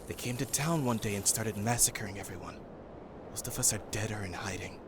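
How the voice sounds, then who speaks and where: A man's voice: lowish voice, brisk, noisy background, close to an everyday voice. A man playing a guarded, stern young survivor in an audio drama, serious, terse and unamused. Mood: guarded, tense.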